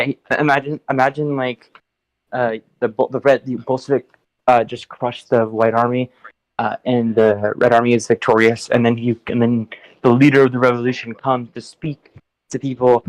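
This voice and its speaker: A man's voice: mid-range voice, dry recording, low-quality recording. Hesitant man with a dry, close voice. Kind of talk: casual political chat on a stream.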